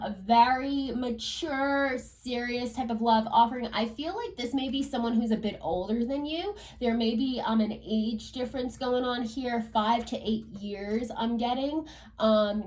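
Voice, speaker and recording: A woman with a hoarse, fading voice from a cold, giving a chatty tarot reading at home with lots of fillers. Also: fairly high pitch, a little mellow, distant mic, slight background noise. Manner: chatty, filler-heavy, talks to spirit and viewers. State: hoarse, losing her voice from a cold. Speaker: tarot reader. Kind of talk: casual tarot reading to camera. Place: upstairs room at home.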